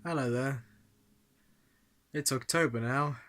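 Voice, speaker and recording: A man's voice: medium pitch, slow, a bit bright, faint music behind. A young man hosting a casual vlog, chatting and putting questions to his friends. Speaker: vlogger interviewing friends.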